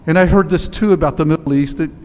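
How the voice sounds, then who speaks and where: A man in his sixties preaching a sermon, telling a story and dropping to a quiet, slow emphasis on key words, standing in a church sanctuary on a headset mic. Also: fairly high pitch. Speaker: priest. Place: church sanctuary in front of altar. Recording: long church reverb with faint ambience.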